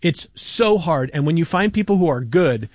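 Man, radio host, upbeat, plugging dates, slightly high voice, dry close sound. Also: animated, normal speed, darkish tone, no echo. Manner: upbeat, plugs shows and dates. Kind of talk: casual radio interview.

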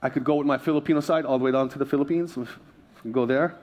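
A man's voice: mid-range voice, fairly even intonation, very fast, darkish tone. A man from Hawaii preaching a sermon as a pastor, repeating key phrases for emphasis and calling for response. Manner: emphatic repetition, calls for congregational response.

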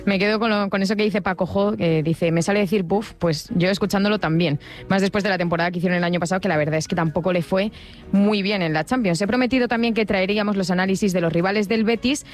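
A woman's voice: mid-range voice, fast talker. A woman with an accent from Spain, co-hosting a radio programme in a conversational style. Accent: Spanish from Spain. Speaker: radio co-host.

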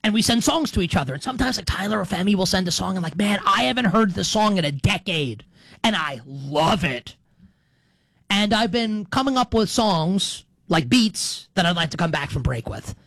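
A man's voice: high-pitched, lively intonation, moderate pace, vocal fry, a bit bright, no echo. Middle-aged male sports talk host, dry sound. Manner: conversational, digressive, repeats and qualifies himself for emphasis. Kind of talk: casual sports talk monologue.

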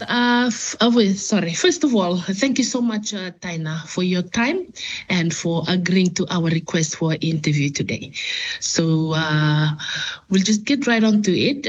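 A woman's voice: fairly low pitch, lo-fi sound, a little hiss or hum. A woman interviewing a guest informally on radio, a little hesitant as she puts her questions.

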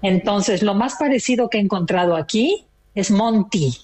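A woman's voice: mid-range voice, animated, moderate pace, darkish tone. A retired older woman from Mexico with a Mexican accent, chatting proudly as a caller on a radio talk show. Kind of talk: casual chat.

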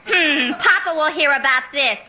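A woman's voice: high voice, moderate pace, over music, natural voice. An actress playing a naive, brassy young woman in a 1950s radio comedy, with a dim, sing-song delivery.

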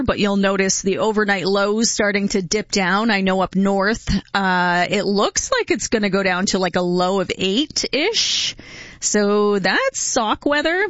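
A woman's voice: medium pitch, fairly bright tone, no room sound, dull audio. A middle-aged woman from Canada hosting a casual radio chat, talkative and laughing often between stories. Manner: chatty, laughs often, many fillers. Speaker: radio host.

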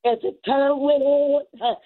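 Slow, high-voiced woman preaching fervently. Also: fairly even intonation, phone-quality sound. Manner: rhythmic short phrases with repeated exclamations of praise and punctuating grunts.

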